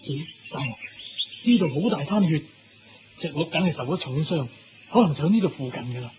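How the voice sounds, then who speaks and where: A man's voice: fairly high pitch, lively intonation, brisk, lightly acted. A man with a Hong Kong Cantonese accent playing an earnest, deferential royal guard in a radio drama. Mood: alert. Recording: dull lo-fi radio recording. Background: music.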